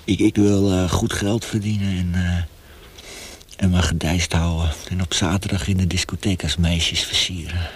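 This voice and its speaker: An actor playing a feverish, tortured young male prisoner facing death in a radio drama, speaking haltingly with hushed passages. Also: low-pitched, flat delivery, husky. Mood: resigned, regretful.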